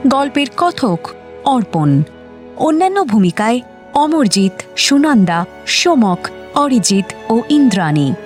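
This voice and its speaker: A woman's voice: fairly high pitch, sing-song, slow pace, long pauses, a little mellow. A woman presenting a radio story in a scripted introduction. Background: background music.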